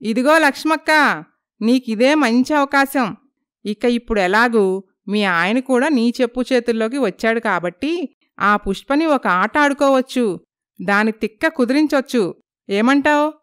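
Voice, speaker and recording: Woman playing a scheming village gossip, spiteful, goading, high clear voice, cartoon moral tale. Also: lively intonation, a little slow, a bit bright. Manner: scheming, egging others on.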